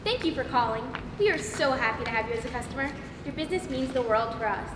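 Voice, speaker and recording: A woman's voice: high-pitched, animated, fast, over loud music. A woman playing a chirpy automated phone menu in a stage comedy, with a scripted customer-service manner. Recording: echoey hall, far mic, clear noise.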